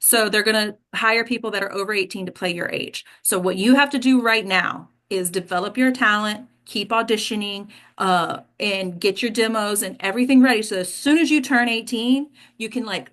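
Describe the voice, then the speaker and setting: A woman's voice: mid-range voice, moderate pace, hardly any pauses, fairly bright tone. An American woman giving casual, direct coaching advice as a host, often checking in with the listener as she goes. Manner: direct, emphatic, checks in with "right?" and "okay". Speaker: host and acting coach leading an online discussion.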